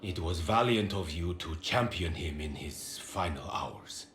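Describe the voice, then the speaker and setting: A man's voice: sits low, expressive, a little slow, fairly bright tone. An actor playing a courteous, pious nobleman in a video game, formal and deferential in his speech. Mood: solemn, grateful. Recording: roomy echo, faint background noise.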